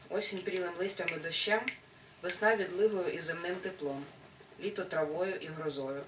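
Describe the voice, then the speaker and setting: A man at a poetry workshop speaks in a soft, slightly hesitant way before reading his poems aloud. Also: high-pitched. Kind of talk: casual intro then poetry reading. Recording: echoey room, distant mic, loud background noise.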